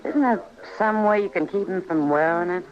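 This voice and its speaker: A woman's voice: lowish voice, moderate pace, natural voice. Actress as Old West saloon woman, American, dry, matter-of-fact, world-weary, dull vintage radio recording. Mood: uneasy, resigned. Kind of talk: old-time radio Western acting.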